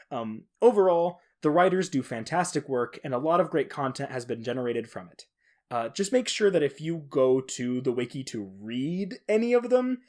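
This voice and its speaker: A man's voice: mid-range voice, a little mellow. A man hosting a podcast alone, talking casually in an informal, enthusiastic manner. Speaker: podcast host. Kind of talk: casual solo podcast talk.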